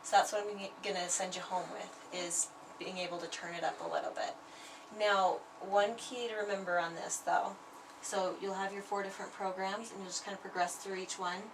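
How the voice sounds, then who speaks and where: A woman's voice: mid-range voice, steady tone, fairly quick, recorded from a distance, background noise. A woman audiologist patiently explaining device settings to a patient, checking often that she understands. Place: clinic room.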